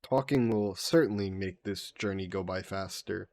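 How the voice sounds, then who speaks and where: A man voicing a vain sea monster who poses as a mannered gentleman in a roleplay audio drama, speaking in suave, formal phrases. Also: sits low, moderate pace, no room sound. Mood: flirty, curious. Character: flirty, vain sea monster posing as a gentleman traveler, turning menacing.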